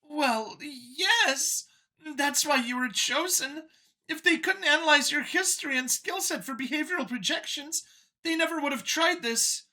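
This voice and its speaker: A man's voice: extremely high pitch, measured pace, sharp tone, pitched above the performer's own voice, exaggerated voice, rough recording. A man voicing a timid, nervous female mouse alien scientist from an audio story, stammering and hesitant. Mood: nervous, explaining.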